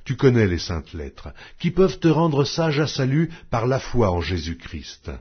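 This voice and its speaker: A man reading scripture from a script as an audio Bible narrator, in a solemn, declamatory manner with measured pauses. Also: mid-range voice, big pitch swings, breathy edge, highs cut off.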